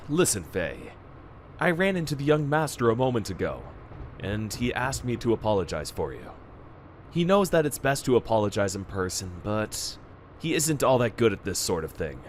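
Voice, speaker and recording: A man's voice: on the low side, sing-song, moderate pace, slight background noise. A man acting a solicitous, formal minder to a young prince, speaking politely in a fan radio play. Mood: solicitous, grave.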